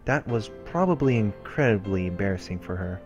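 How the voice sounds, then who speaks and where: A man reads the narration of a visual novel aloud as a let's play host. His voice sits on the low side, and faint music plays behind him. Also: animated, a little fast, highs cut off. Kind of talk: reading game narration aloud.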